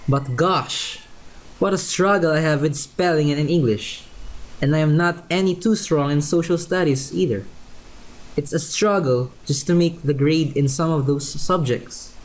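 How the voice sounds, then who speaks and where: Actor as polite teenage boy prodigy for radio play; earnest, humble, helpful, mid-range pitch. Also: moderate pace, natural voice, rough recording. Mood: bashful. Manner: polite, earnest, folksy exclamations. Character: modest, helpful thirteen-year-old boy science prodigy.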